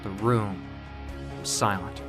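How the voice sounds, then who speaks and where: A man's voice: fairly low pitch, steady tone, slow pace, over music. A man reading scripted, dramatic fiction as the narrator of an audio story.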